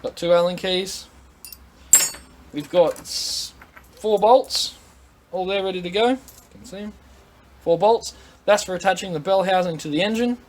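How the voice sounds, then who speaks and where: A man's voice: sits high, normal speed, pauses often. An Australian man giving an informal, plain-spoken, step-by-step product demonstration in a casual instructional style. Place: indoor workshop at a tool bench. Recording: noisy workshop recording with clicks.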